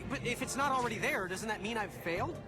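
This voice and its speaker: An actor playing a wisecracking, flustered young superhero in a video game, sarcastic and a little whiny. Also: male voice, high-pitched, animated, fast. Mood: defensive. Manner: sarcastic, quippy, whiny under pressure. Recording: loud music underneath.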